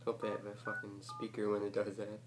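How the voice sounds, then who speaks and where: A man's voice: lowish voice, monotone, brisk. A man talking casually and crudely, full of profanity, as he hosts his own prank call video. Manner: crude, joking, rambling. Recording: noisy homemade call recording.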